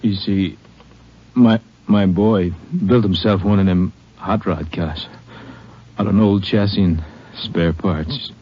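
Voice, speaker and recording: An actor playing a wounded, grieving middle-aged country deputy in a 1950s radio drama, speaking in a weak, halting, folksy way. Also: male voice, sits low, steady tone, husky, heavily acted. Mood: dazed, halting. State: injured, dazed. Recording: dull, muffled old radio recording.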